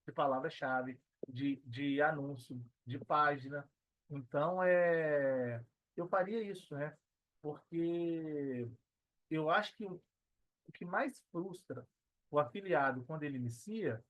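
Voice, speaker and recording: A Brazilian man giving casual, reflective interview answers as a podcast guest, pausing as he thinks and often adding tag questions. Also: a little high, no echo, poor audio. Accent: Brazilian Portuguese. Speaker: podcast guest, affiliate marketer.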